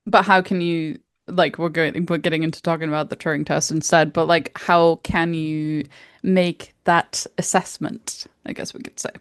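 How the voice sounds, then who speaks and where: Woman cohost keeps pushing questions, lots of "like", a bit low voice, dry close mic. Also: fairly even intonation, moderate pace, clear, resonant tone, no echo. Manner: probing, keeps pressing questions. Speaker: podcast co-host. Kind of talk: casual chat.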